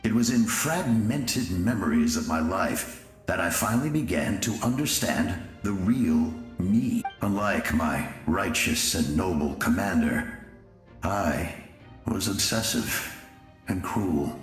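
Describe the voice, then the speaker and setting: A proud, ruthless dead knight in a video game, very deep and slow. He is grave and theatrical, with echo around his words. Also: lively intonation. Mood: solemn pride. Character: ruthless, proud, long-dead male knight commander's deputy. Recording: echoey, music behind.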